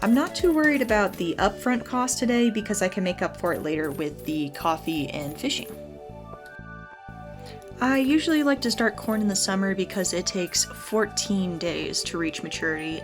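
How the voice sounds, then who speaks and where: A woman's voice: on the low side, moderate pace. A woman hosting a gaming video, giving chatty, explanatory let's play commentary in a casual style. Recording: background music under the voice. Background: game music.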